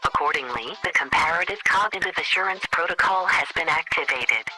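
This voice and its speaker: A man playing a coolly condescending female government official, leaving a formal, deadpan bureaucratic voicemail in a radio comedy. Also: a little high, brisk, faint music behind, low-quality recording. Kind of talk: scripted comedy radio monologue.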